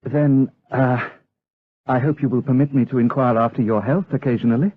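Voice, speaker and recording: An actor playing a courteous, eager young gentleman suitor in a period audio drama, deferential in manner. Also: mid-range voice, fairly even intonation, moderate pace, breathy edge, close to an everyday voice. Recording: dull lo-fi radio drama recording.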